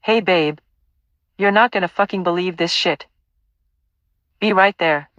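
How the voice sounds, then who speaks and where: A woman's voice: fairly low pitch, measured pace, fairly bright tone, no room sound, muffled recording. A woman playing a wry, foul-mouthed, blunt young heroine with a sardonic manner in an audio play. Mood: wry, relieved.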